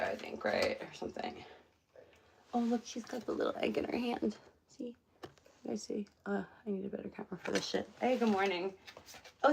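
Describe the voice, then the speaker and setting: A woman's voice: medium pitch, gravelly, slight background noise. A woman casually chatting on a live stream from her home, rambling with many fillers. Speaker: livestream host. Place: home room.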